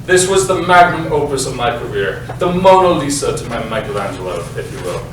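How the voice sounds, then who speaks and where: An actor playing a pompous, self-important British playwright, delivering a grandiose, melodramatic stage monologue with dramatic pauses. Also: male voice, medium pitch, sing-song, natural voice. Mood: grandiose, solemn. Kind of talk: theatrical monologue at a staged reading. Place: live staged reading, echoey indoor space. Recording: echoey room, distant mic, faint noise.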